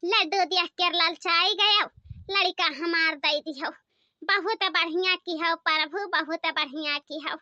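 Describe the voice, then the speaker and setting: A woman voicing a scolding, excitable village mother in a comedy cartoon, with an Awadhi accent, nagging rustic chatter and an artificially pitched-up voice. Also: unhurried, no room sound, exaggerated voice. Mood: grateful, relieved. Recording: dull lo-fi close dry sound.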